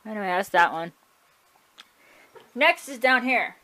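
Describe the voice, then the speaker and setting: A woman's voice: mid-range voice, expressive, a little slow, pushed and bright. A woman casually showing off her collection at home, chatty and offhand, often correcting herself and shrugging things off. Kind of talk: casual home video tour. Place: room at home.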